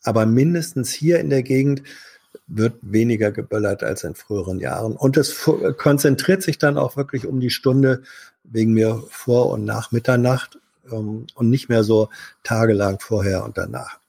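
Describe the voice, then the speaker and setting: Gravelly, hesitant man. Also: medium pitch, moderate pace, mellow, dry recording. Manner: hesitant, many fillers and restarts. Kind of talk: casual chat.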